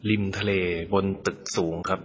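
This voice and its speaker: A man making an amateur radio call in speech, deliberately repeating call signs and details in short polite exchanges. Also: in a large hall, a little hiss or hum.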